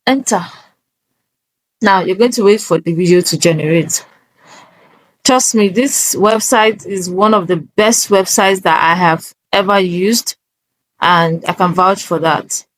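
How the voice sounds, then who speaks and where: A woman's voice: on the low side, measured pace, no echo, low-quality recording. A woman presenting a casual step-by-step tutorial, instructive and often checking that the listener is following.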